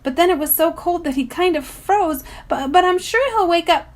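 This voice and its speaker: A woman's voice: very high voice, normal speed, voice pushed up. A woman voicing an anxious, plucky young girl in a storybook reading, nervous and trying to sound reasonable.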